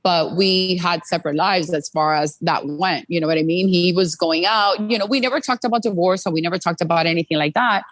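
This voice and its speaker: Earnest American woman, low voice, dry studio. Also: a little fast, hardly any pauses, no room sound. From United States. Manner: earnest, many "you know" fillers. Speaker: guest. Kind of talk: candid interview storytelling.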